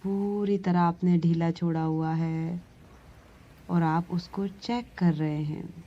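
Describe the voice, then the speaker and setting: A woman leads a guided relaxation meditation, giving gentle, soothing instructions with long pauses between phrases. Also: on the low side, steady tone, muffled recording. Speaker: yoga nidra meditation instructor.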